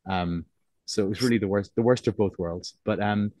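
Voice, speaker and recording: A low-pitched man speaks in a soft Cork accent that has been neutralised toward English. He is thoughtful and hesitant, rephrasing as he goes in a reflective interview. The recording is dry, with very little room around the voice. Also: normal speed, no room sound. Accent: soft, neutralised Cork Irish, closer to English. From Cork, Ireland. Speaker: interviewed writer.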